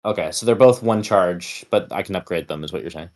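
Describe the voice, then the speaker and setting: Low-voiced man playing a tabletop game. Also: brisk, darkish tone. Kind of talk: casual chat, asking questions.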